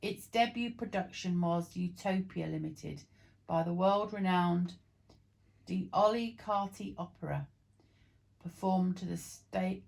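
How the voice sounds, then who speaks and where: Gravelly, low-pitched woman reading aloud. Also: a little mellow, rough recording. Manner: storytelling, occasional stumbles over words. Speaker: vlog co-presenter reading aloud. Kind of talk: amateur reading aloud from printed text.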